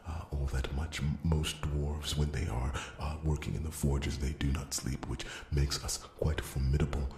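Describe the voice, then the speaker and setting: A man playing a gentle, earnest dwarf blacksmith in an ASMR fantasy roleplay, stammering deferentially in a hushed half-whisper. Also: rumbling low. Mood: shy, earnest. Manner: halting, stammering, deferential, repeats his words. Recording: roomy echo, faint background noise.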